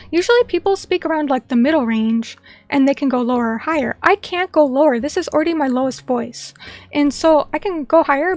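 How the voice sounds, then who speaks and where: A young woman streamer chatting casually and reading viewer comments, bubbly and chatty, greeting people by name. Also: high-pitched.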